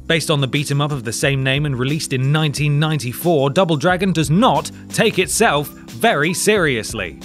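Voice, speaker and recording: A man hosting a scripted countdown show, narrating with wry, sarcastic asides. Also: on the high side. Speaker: host of a video game list show. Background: music.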